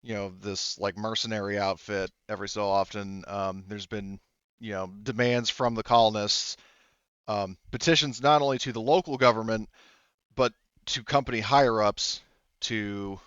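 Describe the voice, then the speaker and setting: A man narrating a tabletop roleplaying game as game master, casually improvising and haltingly feeling his way through the details. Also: on the low side, fairly even intonation, a bit bright, clean tone, no echo, muffled recording.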